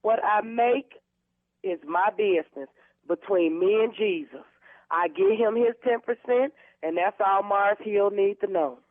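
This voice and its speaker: A woman's voice: mid-range voice, unhurried, dry recording. A woman speaking firmly and emphatically in an indignant phone conversation, heard over a narrow telephone line. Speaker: prank call target, church member.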